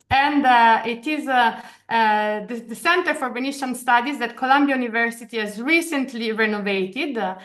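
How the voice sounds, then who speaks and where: Italian-accented woman, halting presenter. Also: fairly high pitch, fairly bright tone. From Italy. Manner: hesitant, many filler sounds and restarts. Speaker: program director. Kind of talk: online information session presentation. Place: office in Venice, video call.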